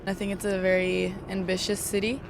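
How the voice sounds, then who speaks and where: A young woman from Okinawa, a singer-songwriter, answering interview questions in a relaxed, chatty way with lots of "like"s. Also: medium pitch, fairly quick, vocal fry. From Okinawa, Japan. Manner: relaxed, chatty, laughs often, many "like"s. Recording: street noise behind.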